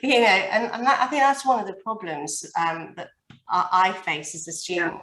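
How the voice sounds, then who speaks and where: A woman's voice: mid-range voice, lively intonation, moderate pace, fairly bright tone. A woman, a student, chatting casually and earnestly with some hesitation as she asks questions in a class. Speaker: student helicopter pilot. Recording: echoey room, distant from mic.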